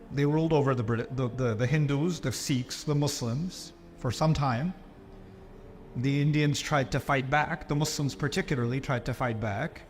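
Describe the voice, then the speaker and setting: A man from Pakistan giving an animated Islamic lecture to a live audience, often putting on mocking voices for the people he quotes. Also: fairly high pitch.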